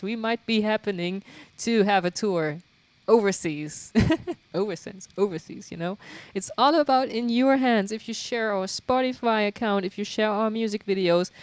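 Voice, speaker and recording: A woman's voice: medium pitch, no echo. A young woman from Germany with a German accent, chatting casually with her livestream viewers, laughing often and using lots of fillers. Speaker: livestream host and guitarist. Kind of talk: casual chat answering viewer questions.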